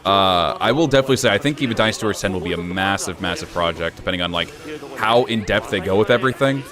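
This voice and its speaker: Young man, low voice, casual stream chat, even low-key delivery, loud game crowd noise behind. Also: fast.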